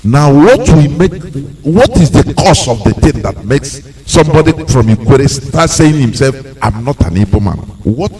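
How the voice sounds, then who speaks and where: A man's voice: mid-range voice, expressive, moderate pace, few pauses, dark tone, far from the mic, rough recording. A radio host from Imo State in Nigeria, probing his caller with repeated questions and restarts. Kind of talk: call-in radio interview.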